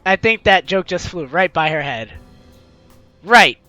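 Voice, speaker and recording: Lively, bright-voiced young woman gamer. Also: lowish voice, unhurried, soft background music. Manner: theatrical, teasing asides. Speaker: gaming video host. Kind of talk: animated let's-play read-aloud and commentary.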